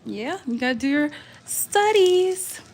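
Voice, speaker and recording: A woman's voice: high-pitched, lively intonation, takes it slowly. Young woman from the us southwest just chatting on her stream at home, slangy n rambly, bit of background noise. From southwestern United States (Arizona and Las Vegas). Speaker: livestream host. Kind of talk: casual livestream chat.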